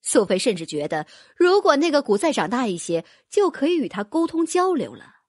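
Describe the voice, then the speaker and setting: A woman narrating a fantasy audiobook in a lively, expressive way. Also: high-pitched, brisk, no room sound, studio quality. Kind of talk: scripted fantasy novel narration.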